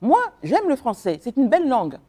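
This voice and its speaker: Congolese woman guest on a radio show, mellow voice, goes up and down a lot, earnest, lots of ums. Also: fairly high pitch, big pitch swings, fairly quick. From Republic of the Congo. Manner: earnest, emphatic, hesitant with many fillers. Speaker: author, guest. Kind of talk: radio interview.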